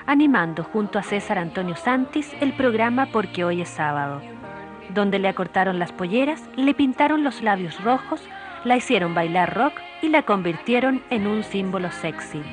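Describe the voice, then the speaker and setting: A woman giving a measured, polished, scripted voice-over for a television news feature. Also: medium pitch, lively intonation. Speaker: TV reporter narrator. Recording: voice-over with music bed.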